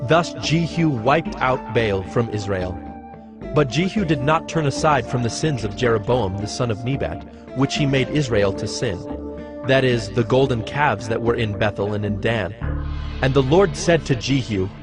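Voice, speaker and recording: A man narrates an ancient scripture in a measured, solemn way. His voice sits at a middle pitch, with music clearly playing behind it. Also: animated. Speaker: narrator of a dramatized audio Bible. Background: background music.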